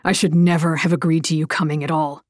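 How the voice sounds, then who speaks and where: A woman's voice: low voice, little pitch movement, fast, no room sound, lightly acted. Woman doing a curt young warrior man, scornful, impatient, audiobook, dry close recording. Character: Killian, a blunt, irritable young male warrior.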